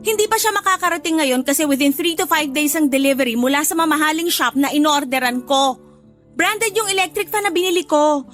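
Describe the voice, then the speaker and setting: A haughty Filipina neighbor in a radio drama, bragging and taunting. Her voice is very high, with faint music behind it. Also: a bit bright, dry recording. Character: boastful, haughty well-off woman neighbor, teasing and defensive.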